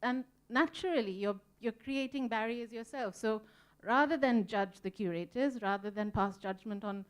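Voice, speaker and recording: A woman's voice: on the high side, moderate pace, clear, resonant tone. A woman from Sri Lanka speaking on a conference panel, earnest and reflective, with frequent ums as she thinks. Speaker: museum volunteer panelist. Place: conference room.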